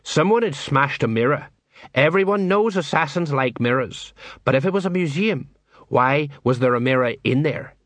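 A man's voice: a little high, animated, moderate pace, a bit bright, no room sound. A man voicing an earnest, loyal young male corporal, polite and deferential, in an audiobook.

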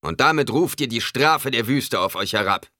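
A man voicing a fiery old desert preacher in an audiobook, proclaiming solemn warnings in a booming, declamatory way. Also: lively intonation, normal speed, pushed and bright, no echo, studio quality. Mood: accusing, fervent.

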